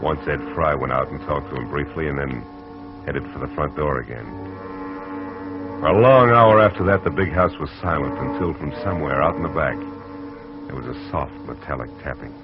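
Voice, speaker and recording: An actor playing a hard-boiled private detective in a 1940s radio drama, dry and wisecracking. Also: male voice, rumbling low, a little fast, music in the background. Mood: narrating. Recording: muffled old radio recording.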